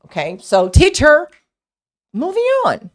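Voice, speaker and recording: Slow, chatty woman teaching English. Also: high-pitched, very animated, music in the background. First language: Portuguese. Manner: chatty, many fillers and restarts. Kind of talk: casual online English lesson.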